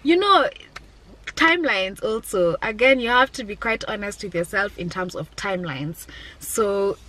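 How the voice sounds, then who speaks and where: A woman's voice: medium pitch, lively intonation, measured pace, pushed and bright, poor audio. A woman in her twenties talking candidly and reflectively to viewers, often repeating key phrases for emphasis. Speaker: vlogger, business owner. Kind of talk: personal reflective talk to viewers.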